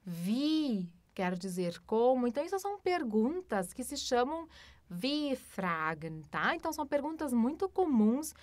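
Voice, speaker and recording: A Brazilian woman, around her thirties, teaches German with patient explanations and lots of quick check-ins with the listener. Her fairly high voice moves up and down a lot. The recording is dry and close, with no room sound. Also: very animated, darkish tone, no echo. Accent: Brazilian Portuguese. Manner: explains patiently, frequent tag questions, slow careful German examples. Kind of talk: language lesson.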